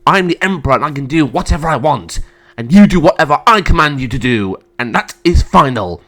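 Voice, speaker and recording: Man playing a cruel, vain tyrant emperor, animated pitch. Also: fairly quick. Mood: enraged. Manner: imperious, menacing, theatrical. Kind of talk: amateur dramatic storytelling.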